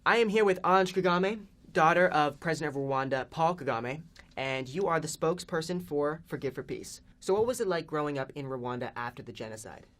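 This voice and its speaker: A man's voice: fairly high pitch, animated, brisk, fairly bright tone. Young man interviewer, upbeat. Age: young adult. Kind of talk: scripted interview questions.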